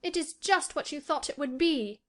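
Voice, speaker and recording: A woman performs a frail young boy from a storybook. His voice is pitched high, well above an adult's, with a plaintive, highly-strung eagerness. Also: lively intonation, normal speed, a bit bright. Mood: enraptured. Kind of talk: acted storybook dialogue.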